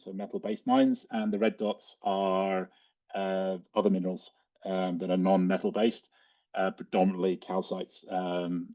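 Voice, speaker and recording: A man with an Australian accent giving an informal slide presentation in a halting, hesitant way, with frequent ums. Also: low voice, mellow. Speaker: guest speaker, cave and mine diver. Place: online video call. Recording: dull, lo-fi online call audio.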